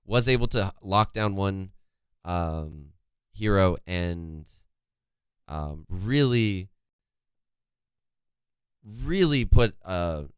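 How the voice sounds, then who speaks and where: A young man with a worn-out, hoarse voice casting an esports match on a home stream, chatty and reading chat aloud, close to a studio mic. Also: deep voice, no room sound. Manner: chatty, reads chat aloud, laughs often. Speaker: esports caster. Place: home streaming room, indoors. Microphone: studio condenser mic close in front, headphones.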